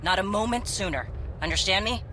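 A woman as commanding warrior leader, low-voiced. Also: brisk, background noise, natural voice. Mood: stern. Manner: firm, commanding. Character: commanding female Mandalorian warrior leader, proud and resolute. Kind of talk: dramatic video game acting. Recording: music behind dialogue.

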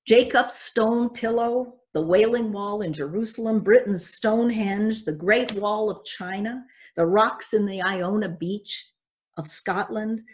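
Measured older woman preaching from a pulpit. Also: medium pitch. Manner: measured, reflective, reading from notes. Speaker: pastor. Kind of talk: sermon. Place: church sanctuary at a pulpit.

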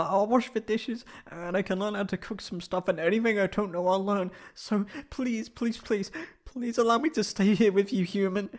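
A man voicing a shy, flustered young tsundere catboy in a high put-on voice, halting and stammering in a roleplay audio drama. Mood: pleading, tearful. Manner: halting, stammering, pleading and defensive.

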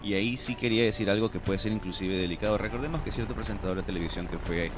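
A man's voice: lowish voice, quick pace. An Ecuadorian man, middle-aged, explaining his opinions emphatically in a radio discussion, close on a studio microphone. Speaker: radio show guest. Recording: music playing behind the voice. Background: music.

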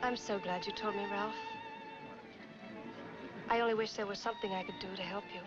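An actress in her twenties playing a sympathetic, flattering glamorous model in a 1940s film noir. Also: mid-range voice, brisk, close to an everyday voice. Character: sympathetic, flattering young glamorous model courting a married man. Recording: dull 1940s film soundtrack, music behind.

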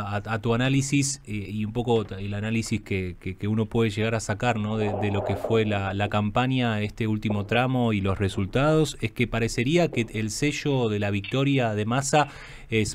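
A man's voice: fairly low pitch, normal speed. A man with an Argentine accent hosting a conversational radio interview, phrasing questions tentatively. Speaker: radio host interviewing a guest.

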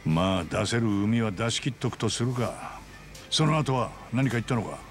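Gravelly, blunt, worldly man. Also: on the low side, animated, moderate pace, soft background music. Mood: chiding. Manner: blunt, matter-of-fact, rough masculine speech. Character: frank, worldly male friend giving tough advice. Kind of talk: dramatic Japanese video game voice acting.

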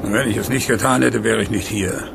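A man's voice: on the low side, a little fast, creaky. An actor playing a desperate, wary male banker in a crime radio play. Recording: noisy vehicle ambience.

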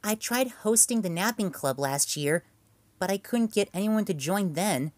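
A man voicing a sleepy, gentle schoolgirl with a soft, airy way of talking. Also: high voice, animated, normal speed, dry recording. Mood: dreamy.

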